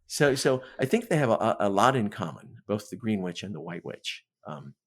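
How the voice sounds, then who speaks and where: A man with a fairly low voice talks about books as an interview guest. He is conversational and emphatic, punctuating his points with little questions. He slips into imagined dialogue to explain what he means. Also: big pitch swings, normal speed. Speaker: guest author on a podcast. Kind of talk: animated literary discussion in conversation.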